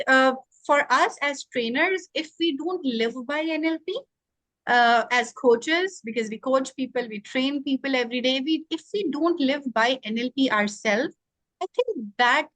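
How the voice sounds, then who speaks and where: Woman, higher voice. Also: a little slow, fairly bright tone, dry recording. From Pakistan. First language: not English. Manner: earnest, reflective, frequent "you know" fillers. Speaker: guest NLP trainer. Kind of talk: conversational interview.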